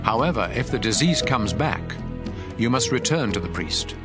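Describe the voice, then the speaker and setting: A man playing a solemn, commanding God, reading scripture laws in a measured, emphatic way over music. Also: medium pitch, expressive, natural voice. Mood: solemn, instructive. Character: God speaking law, solemn, commanding male deity.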